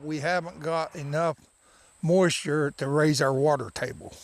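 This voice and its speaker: A man's voice: fairly high pitch, steady tone, a little slow. A man who farms, giving unscripted answers in a news interview. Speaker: farmer.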